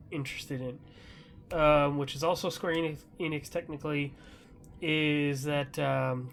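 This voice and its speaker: A man's voice: a little high, a bit bright. A man hosting a podcast, chatting casually about gaming news in a rambling, loosely conversational way. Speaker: podcast host.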